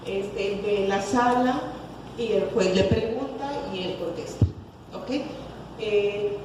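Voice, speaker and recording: A woman with a Mexican accent speaking as a conference lecturer, explaining emphatically and answering questions. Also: mid-range voice. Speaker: lecturer, clinical psychologist. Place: conference hall with reverberation. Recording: echoey hall, distant mic, noisy.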